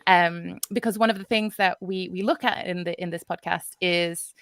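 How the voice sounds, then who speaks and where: A woman's voice: fairly low pitch, fairly even intonation, moderate pace, no room sound. Woman moderating a panel, dry sound. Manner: casual, hesitant, with fillers and restarts. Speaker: podcast co-host and event moderator.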